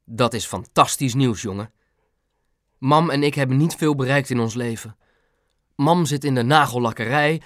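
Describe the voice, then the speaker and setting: A man's voice: mid-range voice, normal speed, pauses often, a bit bright, no room sound, studio quality, close to an everyday voice. A man voicing a plain-spoken, henpecked father with an earnest manner in an audiobook. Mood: surprised, delighted. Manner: earnest, meek with his wife. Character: plain-spoken, henpecked working-class dad, security guard. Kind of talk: audiobook character voice.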